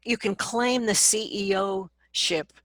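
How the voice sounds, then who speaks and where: A woman speaking as a vocal coach in an interview, explaining advice conversationally and acting out examples in an animated manner. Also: mid-range voice, takes it slowly, dry recording, studio quality.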